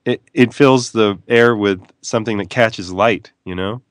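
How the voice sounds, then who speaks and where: Low-voiced man with a wry, playful manner. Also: measured pace, no room sound, clean studio sound. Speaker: author and guest. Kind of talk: casual radio interview chat.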